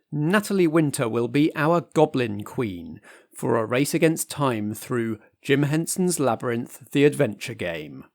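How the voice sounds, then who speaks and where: A man's voice: mid-range voice, no echo, studio quality. A man presenting a scripted show introduction in a theatrical, announcer-like way.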